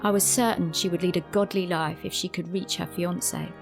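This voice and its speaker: A woman's voice: lowish voice, steady tone, a little fast, gravelly, over music. An actress playing an earnest, caring young woman in an eighteenth-century London asylum in an audio drama. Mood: solemn recounting.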